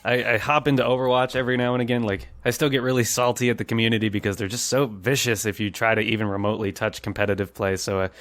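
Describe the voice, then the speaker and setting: A man's voice: fairly low pitch, fairly even intonation, fast talker, hardly any pauses, a bit bright, no echo. A man hosting a casual gaming podcast, chatting in an informal, enthusiastic way. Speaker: podcast host.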